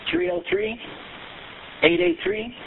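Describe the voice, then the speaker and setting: High-voiced male caller on a phone line. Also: animated, slow, creaky, slight background noise. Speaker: caller to sheriff's dispatch. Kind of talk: phone call report.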